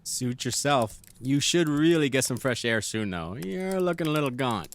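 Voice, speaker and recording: An actor as a wisecracking, animated young gang guy. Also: mid-range voice, moderate pace, close to an everyday voice. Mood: teasing. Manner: teasing, casual banter. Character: wisecracking, easygoing city gang member, teasing old friend. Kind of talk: casual audio drama dialogue.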